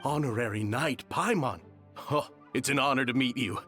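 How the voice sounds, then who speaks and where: An actor playing a courteous, slightly hesitant knight in a video game, speaking politely and haltingly. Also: male voice, medium pitch, fairly bright tone, soft background music, lightly acted.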